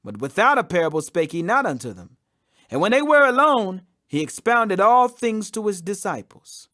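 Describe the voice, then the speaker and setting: A man's voice: high-pitched, very animated, moderate pace, pushed and bright, dry recording, studio quality. A man reading scripture aloud as a scripted audiobook, with an emphatic, declamatory delivery. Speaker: Bible reader.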